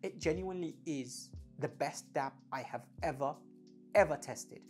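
A woman's voice: deep voice, a little slow, darkish tone, faint music behind. A woman giving a casual, opinionated gear review, speaking emphatically as a reviewer. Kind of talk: casual product review talk.

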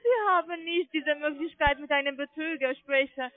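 A woman with a foreign accent in halting German, working as a call centre agent and insistently questioning a customer. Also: high-pitched, very noisy. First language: not German. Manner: halting, non-native phrasing, insistent questioning. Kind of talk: scripted cold-call sales pitch over the phone. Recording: tinny narrowband phone line.

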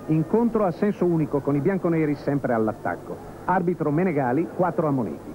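A male reporter reads a clipped, scripted football report in a fairly high voice. The sound is tinny and noisy, from an old television broadcast. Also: lively intonation, a little fast.

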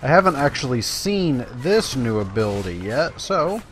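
A man's voice: mid-range voice, sing-song, normal speed, a little hiss or hum. A man giving casual, self-deprecating let's-play commentary, talking directly to his viewers. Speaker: gaming YouTuber, host. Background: video game sound.

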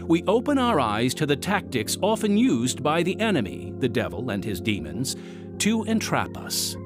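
Animated male radio announcer. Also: fairly high pitch, moderate pace. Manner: polished, emphatic announcer delivery. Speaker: announcer and narrator. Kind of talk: scripted radio drama narration. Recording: music under the voice.

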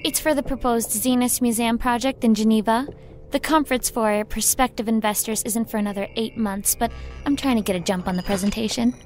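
Actress as guarded corporate spokeswoman: woman, highish voice, businesslike and clipped, faint music behind. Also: a little fast, close to an everyday voice. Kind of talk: naturalistic audio drama acting.

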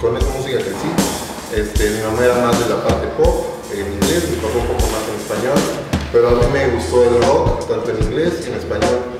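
A man's voice: mid-range voice, brisk. A young man, a guitarist chatting in an interview and telling his story with playful repetition. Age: young adult. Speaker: band guitarist. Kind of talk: casual documentary interview. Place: indoor studio stage area, seated. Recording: echoey, distant, loud music behind. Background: loud music with drums.

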